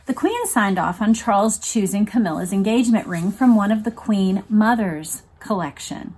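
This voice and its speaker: A woman's voice: medium pitch, expressive, moderate pace, a little mellow, breathy edge. A woman hosting a read-along, reading aloud and commenting in a conversational, emphatic way. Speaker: host of a read-along video series.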